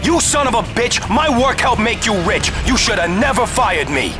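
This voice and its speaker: High-pitched, belligerent game villain. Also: male voice, very animated, normal speed, music in the background. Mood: furious. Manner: barking, belligerent. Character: hot-tempered, bitter villainous arms engineer commanding troops. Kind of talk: video game villain acting.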